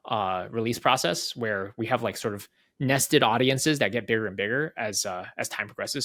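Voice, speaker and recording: Fast-talking man explaining, close dry sound. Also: lowish voice, gravelly, fairly bright tone, dry recording. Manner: conversational, thinks aloud, often asks "right?". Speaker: head of product, interview guest. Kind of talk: casual interview explanation.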